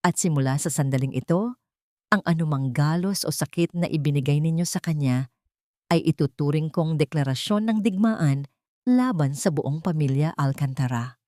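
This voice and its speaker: A woman acts out a commanding male tycoon in a romance audio drama. The delivery is low, cold and threatening, fiercely protective, with a very dry sound. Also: lively intonation, moderate pace, mellow, no echo. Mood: cold menace. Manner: stern, threatening.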